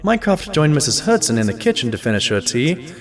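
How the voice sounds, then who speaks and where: A man playing a loyal pony doctor, telling his adventures as scripted, gentlemanly narration in an audio drama. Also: a little high, sing-song, brisk. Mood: wry. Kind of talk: scripted first-person narration.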